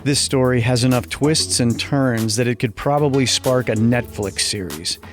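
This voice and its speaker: A man's voice: mid-range voice, normal speed, soft background music. A man speaking as a podcast host, presenting and interviewing. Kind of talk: scripted intro and interview.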